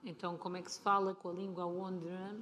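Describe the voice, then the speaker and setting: A woman's voice: on the low side, flat delivery, quick pace, a bit bright. A woman with a European Portuguese accent, speaking casually and explaining things as a guest in a live stage conversation. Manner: chatty, explanatory, informal. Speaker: interview guest, film and television producer. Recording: clear ambient noise of busy convention.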